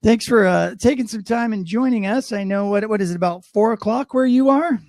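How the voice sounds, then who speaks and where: A man's voice: high-pitched, brisk, a little mellow, no echo, studio quality. A man hosting a live webinar over a video call, chatty and upbeat with a self-deprecating humor. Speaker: host.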